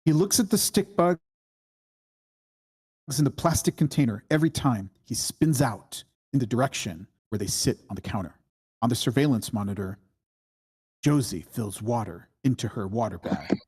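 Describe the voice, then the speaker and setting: A narrator reading screenplay stage directions at a table read in measured, deliberate short phrases. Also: mid-range voice, lively intonation, a little mellow, husky. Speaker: screenplay reader.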